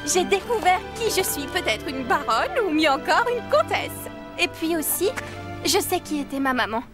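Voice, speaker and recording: A woman voicing a dreamy, spirited young girl in a French cartoon, earnest and expressive. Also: high voice, moderate pace. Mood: excited wonder. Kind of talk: dubbed French cartoon acting. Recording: music behind the voice.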